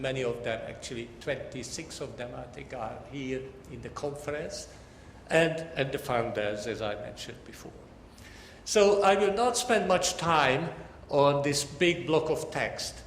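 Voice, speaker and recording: An older man from Hungary with a Hungarian accent gives a formal academic lecture as a professor, in measured phrases that often pause mid-sentence. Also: medium pitch. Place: large lecture hall, talking to an audience. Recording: echoey lecture hall with background noise.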